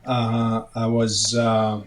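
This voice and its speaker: A young man from Canada answering interview questions in a halting way, with many fillers and restarts. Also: mid-range voice, monotone. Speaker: guest, medical student.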